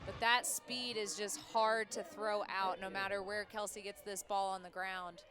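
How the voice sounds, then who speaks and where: A woman giving color commentary and analysis on a softball broadcast. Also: mid-range voice, level tone, normal speed, music in the background.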